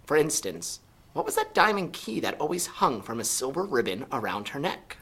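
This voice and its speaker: A man narrating a children's story aloud in an animated, expressive storytelling style. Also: fairly high pitch, moderate pace, breathy edge. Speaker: storybook reader.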